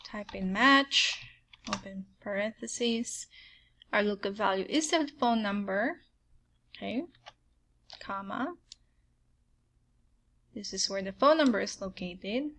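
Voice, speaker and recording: A woman's voice: medium pitch, takes it slowly, pauses often, bright, forceful tone. A woman with a Filipino accent walking viewers through spreadsheet formulas step by step, often checking in with "okay". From Philippines. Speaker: spreadsheet tutorial host. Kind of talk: screen-recorded software tutorial.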